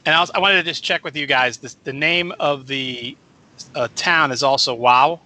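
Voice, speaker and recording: A man hosting a student radio show, talking in a loose, rambling way and correcting himself as he goes. His voice is very bright and forward. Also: medium pitch, moderate pace. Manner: chatty, rambling, self-correcting. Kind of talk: casual radio interview.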